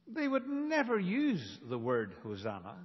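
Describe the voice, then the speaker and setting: A man preaching a sermon as a pastor, in a deliberate, emphatic manner with dramatic pauses. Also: sits high, wildly swooping pitch, breathy edge. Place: large reverberant church. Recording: dull, reverberant church hall recording.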